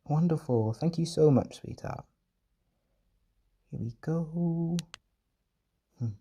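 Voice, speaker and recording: A man performing a gentle, doting caretaker in an intimate comfort roleplay, speaking softly and reassuringly with tender pet names. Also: on the high side, slow pace, breathy edge. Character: gentle, doting male caretaker soothing an overwhelmed partner.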